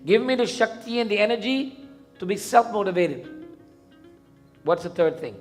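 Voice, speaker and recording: High-voiced Guyanese man preaching, music behind. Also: lively intonation. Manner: didactic, questioning, deliberate repetition. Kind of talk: interactive sermon. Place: live event in a temple before a congregation.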